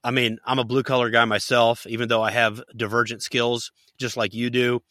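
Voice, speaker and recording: A man's voice: medium pitch, little pitch movement, normal speed, sharp tone, no room sound, studio quality. A man hosting a podcast interview, folksy and fond of telling jokes. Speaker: podcast host.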